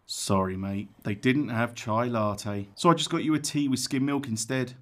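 An actor playing a hapless, insecure policeman who dreams of acting, earnest and self-pitying, in a dark comedy audio drama. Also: mid-range voice, moderate pace, close to an everyday voice.

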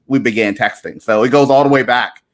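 A man in his thirties, a pastor from Liberia raised in Louisiana, speaking as an enthusiastic podcast guest who often stops to fill pauses with uh and um. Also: mid-range voice. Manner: warm, enthusiastic, halting with many fillers. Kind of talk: podcast interview.

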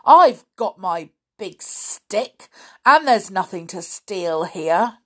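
A woman's voice: medium pitch, animated, takes it slowly. A woman voicing a grumpy, suspicious, deaf old man in a children's story, scolding irritably in rustic turns of phrase. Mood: defiant.

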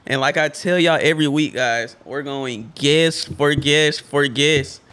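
A man's voice: a little high, a little slow, a bit bright, clear, resonant tone. A man co-hosting a podcast, opening the show in an upbeat, slangy way. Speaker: podcast co-host. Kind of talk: podcast intro.

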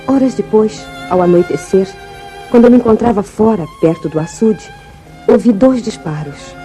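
A woman's voice: fairly low pitch, mellow, natural voice. A woman playing a grieving, loyal ranch daughter telling her story solemnly in a 1950s Brazilian radio drama. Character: grieving, loyal young ranch woman recounting family tragedy.

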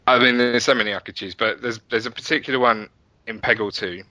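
A man's voice: medium pitch, fairly even intonation, fast talker, bright, forceful tone, no room sound. A man speaking as an interview guest, chatting casually and telling stories with enthusiasm. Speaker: podcast guest, app and website developer.

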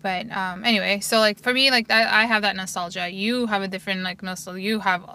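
A woman in her forties from Turkey co-hosts a relaxed podcast chat. Her voice is bright and forward, and she rambles warmly through memories with frequent "like" fillers. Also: medium pitch, fast, clear, resonant tone. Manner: chatty, many "like" fillers, animated. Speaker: podcast co-host. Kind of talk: casual chat.

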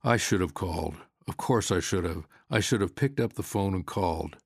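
A weary man under questioning in a literary story, as a male narrator reads him. He is evasive and a little sheepish, with a low voice full of creak. It is recorded close and dry. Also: moderate pace, no room sound, heavily acted.

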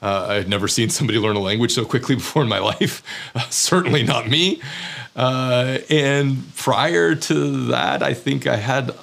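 A man's voice: mid-range voice, normal speed, gravelly, fairly bright tone. Candid self-deprecating American man. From United States. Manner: candid, self-deprecating, many fillers and restarts. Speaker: teacher living abroad. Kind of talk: reflective personal monologue.

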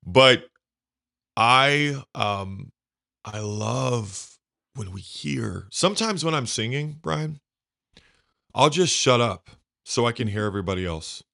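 A man hosting a conversation with a deep bass voice, effusive and emotional as he talks. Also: lively intonation, takes it slowly, gravelly, pauses often, bright, forceful tone. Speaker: podcast host. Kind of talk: casual conversation.

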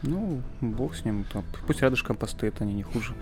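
A man's voice: mid-range voice, lively intonation, fairly quick, faint music behind. A man hosting a podcast, chatting casually about books and often searching for his words. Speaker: podcast host. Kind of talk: casual book chat.

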